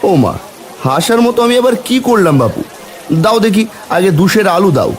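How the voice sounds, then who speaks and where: A man voicing a meek, put-upon village husband and father in a cartoon, plaintive and expressive. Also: high-pitched, moderate pace. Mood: surprised, indignant. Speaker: cartoon voice actor.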